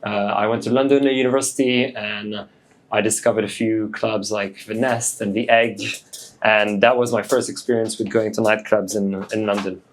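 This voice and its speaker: A man in his late twenties, French-born and raised in Hong Kong, talking in a relaxed, thoughtful way as a DJ guest, with many fillers. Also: fairly low pitch, moderate pace, a little hiss or hum. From France, raised in Hong Kong. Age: 20s. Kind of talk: casual interview.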